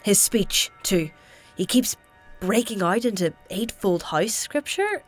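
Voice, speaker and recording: An actress playing a clever, defiant healer with a matter-of-fact, insistent manner in a fantasy audio drama. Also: female voice, mid-range voice, animated, slow pace, faint music behind. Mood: brisk, concerned.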